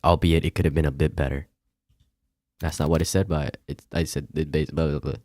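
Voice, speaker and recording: A man's voice: extremely low pitch, flat delivery, brisk, darkish tone, no echo, clean studio sound. A man streaming a visual novel, switching between casual chat and reading aloud, sometimes stumbling over lines. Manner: stumbles and comments while reading. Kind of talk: casual livestream chat and read-aloud narration.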